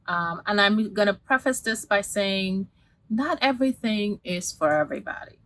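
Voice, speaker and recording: A woman's voice: mid-range voice, animated, moderate pace, fairly bright tone. A woman, talking casually to camera as a beauty vlogger, rambling with many restarts and fillers. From Guyana.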